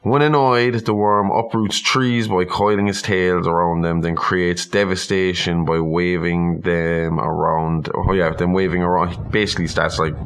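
A man's voice: sits low, steady tone, unhurried, few pauses, a bit bright. A man co-hosting a podcast, reading a legend aloud and adding informal, irreverent, sweary commentary. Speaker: podcast co-host.

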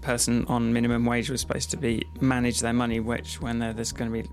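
A man's voice: mid-range voice, monotone, quick pace, gravelly. A man hosting a podcast in casual chat, rambling, joking and often laughing. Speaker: podcast host.

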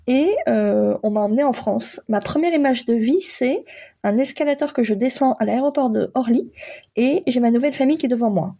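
A Polish-born woman in her forties who is a coach, telling her own story in a halting, reflective way with frequent pauses. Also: a little high, creaky, mellow. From Poland. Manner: halting, many pauses and fillers. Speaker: guest, coach and psychotherapist. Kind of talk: reflective personal testimony.

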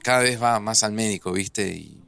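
An actor with an Argentine accent playing an emotional, teasing friend in a naturalistic audio drama, speaking colloquially. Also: male voice, fairly low pitch, moderate pace, creaky, a bit bright, smooth. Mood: troubled, confiding. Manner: colloquial, conversational. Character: Santi, male friend reunited, emotional, teasing, guarded about family. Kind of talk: naturalistic audio fiction acting. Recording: music under the voice.

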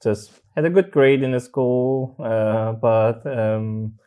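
A man from South Korea with a Korean accent, speaking haltingly as a guest in a conversational interview. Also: medium pitch, flat delivery, warm tone, clear, resonant tone. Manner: halting, hesitant phrasing with many pauses. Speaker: podcast guest, kink instructor. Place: studio room, in person.

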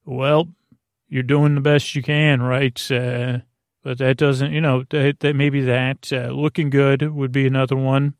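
Male sleep-podcast host, gravelly creak, dry close sound, halting and gently silly, drifting off on tangents. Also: medium pitch, flat delivery, no echo. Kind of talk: rambling bedtime monologue meant to lull listeners to sleep.

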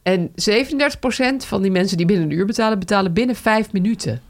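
Nederlandse podcastpresentatrice in losse babbel. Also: female voice, mid-range voice, quick pace. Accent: Netherlands Dutch. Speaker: podcast host. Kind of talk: casual podcast chat.

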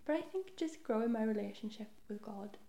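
Young woman for a guest spot: warm, slightly fried voice, casual and chatty, in a roomy space. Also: a little high, lively intonation, brisk. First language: Welsh. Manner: chatty, self-deprecating, often trails into soft asides. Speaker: podcast guest. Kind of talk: casual chat. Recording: roomy echo.

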